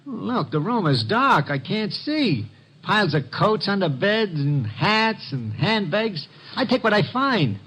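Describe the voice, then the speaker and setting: An actor playing a nervous, stammering sneak thief with slangy, uneducated speech in an old radio detective drama. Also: male voice, high-pitched, sing-song, slow, a bit bright, breathy edge, exaggerated voice, rough recording. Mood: pleading, flustered. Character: nervous, very tall small-time sneak thief. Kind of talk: 1940s-50s radio detective drama acting.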